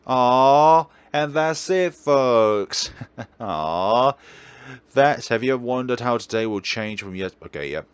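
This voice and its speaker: Animated man chatting through a game. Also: medium pitch, slow pace, clean tone, no echo. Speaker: let's play host. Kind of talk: let's play commentary.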